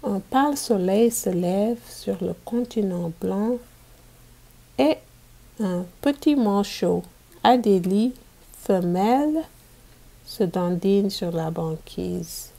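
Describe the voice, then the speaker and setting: Woman, mellow soft-edged tone, slow deliberate read-aloud, children's picture book, teacherly. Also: mid-range voice, lively intonation, vocal fry. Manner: deliberate, repeats sentences for learners.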